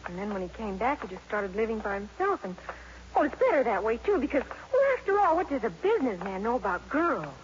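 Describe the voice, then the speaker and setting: High-pitched, flustered teenage schoolgirl. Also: sing-song, fairly quick. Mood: earnest, defensive. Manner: stammering, breathless, easily flustered. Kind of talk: 1950s radio drama acting. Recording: muffled, noisy 1950s radio recording.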